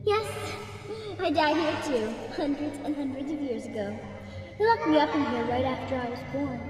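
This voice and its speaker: An actress playing the bitter, taunting ghost of a girl beheaded as a child, talking slowly with eerie laughs in a game cutscene. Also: sits high, lively intonation. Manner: slow, mocking, with eerie laughs. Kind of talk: video game cutscene acting. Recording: echoey reverberant hall with music.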